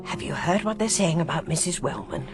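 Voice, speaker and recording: A knowing village gossip in a radio drama, low and creaky, speaking with morbid conspiracy. Loud music plays behind her. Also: lively intonation, brisk. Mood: morbid relish.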